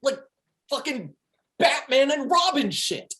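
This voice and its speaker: Man playing a cocky, excitable slacker schemer, wildly animated. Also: very high voice, slow pace, heavily acted. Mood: excited, persuasive. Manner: slangy, emphatic, swinging between hushed and pushy. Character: Steve, cocky, excitable, foul-mouthed male slacker schemer. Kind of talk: table read of a stage comedy. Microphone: video call. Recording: video-call audio.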